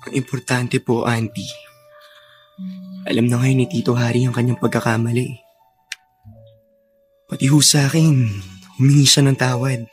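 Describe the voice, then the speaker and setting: A man's voice: mid-range voice, little pitch movement, moderate pace, pauses often, soft background music, lightly acted. Earnest young Filipino officer, a man acting. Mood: earnest, concerned. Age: young adult. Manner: polite, gentle. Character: earnest, respectful young army officer nephew. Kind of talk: Filipino radio drama acting.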